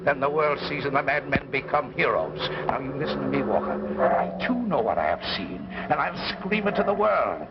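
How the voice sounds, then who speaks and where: An actor playing an obsessed, desperate professor in theatrical, insistent 1950s science fiction drama style. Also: male voice, mid-range voice, very animated, moderate pace. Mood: defiant. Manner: theatrical, impassioned, insistent rhetoric. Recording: dull, noisy 1950s broadcast recording.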